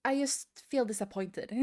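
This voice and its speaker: Higher-pitched woman in a casual gaming rant. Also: measured pace, a little mellow. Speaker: gaming video creator. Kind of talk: casual opinion rant about a video game.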